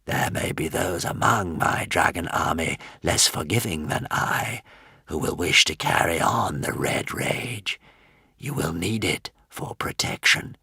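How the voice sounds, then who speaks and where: A man voicing a huge old dying dragon in a storybook, solemn and remorseful, speaking slowly in a weak, trembling whisper. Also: deep voice, vocal fry, pushed and bright, slightly rough, dry recording, studio quality, heavily acted. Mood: grave, urgent. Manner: slow, grave, halting delivery.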